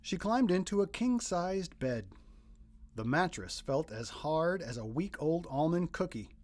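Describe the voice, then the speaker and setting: A man reading a children's picture book aloud as narrator, in expressive scripted storytelling. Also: fairly high pitch, measured pace.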